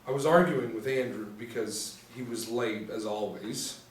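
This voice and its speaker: A man's voice: medium pitch, moderate pace, close to an everyday voice. A young man acting a scared, reluctant student witness in a scripted table read, hesitant and guarded. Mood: uneasy, reluctant. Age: young adult. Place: small indoor room, seated reading. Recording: echoey room, distant mic, faint noise.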